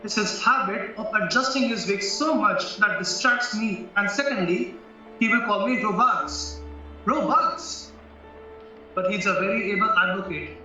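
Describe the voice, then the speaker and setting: A man's voice: high voice, measured pace, sharp tone, full character voice. A man playing an irritable senior barrister in an audio play, formal and questioning in manner. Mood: irritated. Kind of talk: stage-style audio play acting. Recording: very echoey, distant, muffled, music behind.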